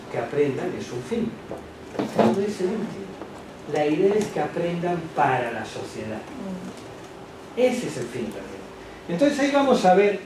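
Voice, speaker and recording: High-voiced man lecturing in a hall. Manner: animated, rhetorical questions, asides to the audience. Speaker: education speaker at a teachers' workshop. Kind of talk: lecture presentation. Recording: echoey hall, distant mic, background noise.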